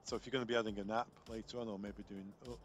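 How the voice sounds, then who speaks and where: A man presenting an unscripted software tutorial step by step, explaining as he goes and often repeating words as he finds his phrasing. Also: on the low side, level tone, rapid-fire, faint music behind. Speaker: product trainer demonstrating intercom software.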